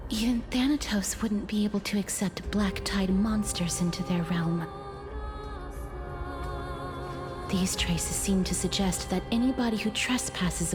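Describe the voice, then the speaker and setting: An actress playing a solemn, dutiful young woman in an anime game, speaking softly and breathily in formal, measured phrases, with music behind. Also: medium pitch, lightly acted. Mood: solemn resolve. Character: soft-spoken, solemn, dutiful young woman with deathly power.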